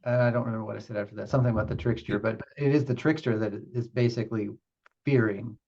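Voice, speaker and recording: A man teaching meditation off the cuff, explaining ideas in a halting, reflective way as he talks with a group. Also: medium pitch, fairly even intonation, a little mellow, lo-fi sound. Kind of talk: unscripted spiritual teaching with group questions.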